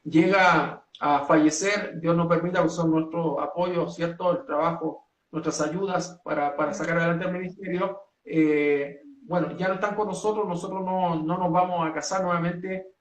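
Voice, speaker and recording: Chilean pastor, echoey and distant. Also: male voice, a little high, steady tone. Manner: earnest, measured, with many hesitations. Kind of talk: doctrinal statement in a two-way talk.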